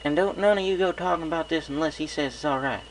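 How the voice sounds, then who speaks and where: Guy doing a folksy cowgirl pony, calm big sis vibe, drawly, story reading, slight hiss. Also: a little high, fast, pitched above the performer's own voice, natural voice, rough recording. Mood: calm, protective. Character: calm, protective grown-up country mare, big sister.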